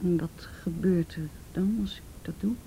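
A woman playing an ashamed, abused teenage girl in a radio drama, halting and repeating herself, often sinking to a breathy whisper. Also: lowish voice, steady tone, mellow, a little hiss or hum, natural voice, rough recording. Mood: ashamed, reluctant. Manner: halting, repeats words, tag questions. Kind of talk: naturalistic radio drama acting.

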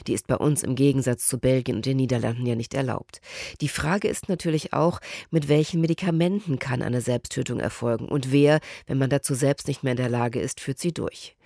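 A man's voice: mid-range voice, fairly quick, gravelly, warm tone, no echo, clean studio sound. A man with standard German giving a scripted introduction as a radio presenter.

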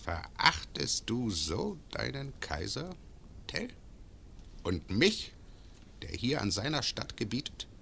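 A cruel, imperious bailiff in an old radio drama, who taunts his victims in a slow, gravelly, bright voice. His pitch sweeps widely as he toys with them. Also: very animated, heavily acted. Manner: cold, mocking, imperious. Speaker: actor in radio play. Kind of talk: classic radio drama acting.

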